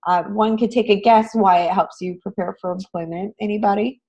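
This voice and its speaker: A woman with a raspy voice, hoarse from a cold, teaching a live online class in an encouraging, conversational way. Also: mid-range voice, steady tone, a little fast, darkish tone, poor audio. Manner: encouraging, addresses students with frequent questions. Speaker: online graphic design instructor. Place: online class session, screen shared.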